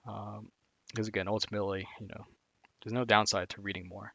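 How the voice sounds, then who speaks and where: A man's voice: on the low side, level tone, fairly quick, pushed and bright, muffled recording. A man streaming live, talking casually through a list of finance reading resources, rambling with lots of fillers and restarts. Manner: rambling, heavy on "like" and "again", frequent restarts.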